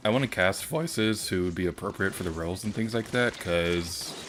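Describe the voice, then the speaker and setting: A soft-spoken young man streaming and chatting casually, hesitant, with many pauses and ums. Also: deep voice, very noisy.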